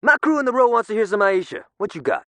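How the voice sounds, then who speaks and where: A man's voice: sits high, big pitch swings, quick pace, fairly bright tone, dry recording, heavily acted. A man playing a casual street-crew fan calling a radio station in slangy, stop-start phrases. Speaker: radio caller.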